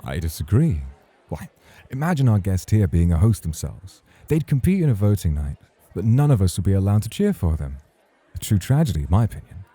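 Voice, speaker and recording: A man's voice: low-pitched, sing-song, a little fast, warm tone, slightly rough, no room sound, full character voice. A man acting in an ASMR roleplay as a suave, teasing club host, formal and flirtatious in his way of talking. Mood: playful protest.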